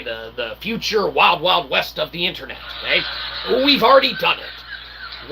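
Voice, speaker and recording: A man with a creaky voice delivering a rambling philosophical monologue in verbose, mock-formal wording, constantly tagging phrases with "okay" and "all right". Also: a little high, noisy background. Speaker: independent researcher and channel host.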